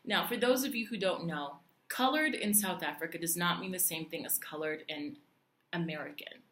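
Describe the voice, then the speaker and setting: A woman from Puerto Rico talks casually to her viewers, chatty and animated, often restarting her sentences. Her voice sits a little low. The sound rings with the echo of the room. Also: moderate pace, slight background noise. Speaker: vlogger. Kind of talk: casual talk to camera. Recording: echoey room.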